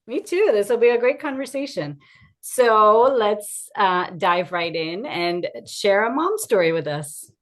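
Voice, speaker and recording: A woman's voice: mid-range voice, lively intonation, unhurried. Woman hosting a wellness podcast. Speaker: podcast host, wellness coach. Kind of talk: podcast intro and interview hosting.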